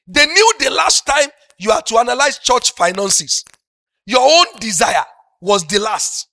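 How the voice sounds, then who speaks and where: A middle-aged Nigerian pastor with a Yoruba-speaking accent preaching a sermon, moving from soft talk up to loud, passionate shouting. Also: male voice, extremely high pitch, lively intonation, a little slow, gravelly, dry recording, studio quality.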